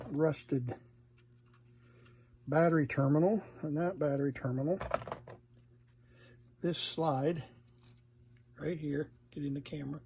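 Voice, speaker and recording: A man giving a casual hands-on repair demonstration, unhurried and murmuring to himself as he works. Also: a little high, darkish tone. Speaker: vintage radio repair hobbyist, presenter. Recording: clear background noise, room ambience.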